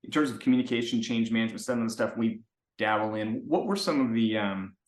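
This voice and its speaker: A man's voice: fairly low pitch, quick pace. A man co-hosting a podcast as a consultant, asking questions in a rambling, hesitant way. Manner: rambling, hesitant, searching for words. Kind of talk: casual interview chat. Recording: roomy echo.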